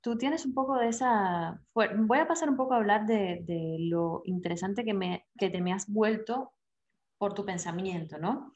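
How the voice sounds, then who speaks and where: A woman interviewer asks her guest questions in a casual way. Her tone is warm and admiring. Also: mid-range voice, normal speed, highs cut off.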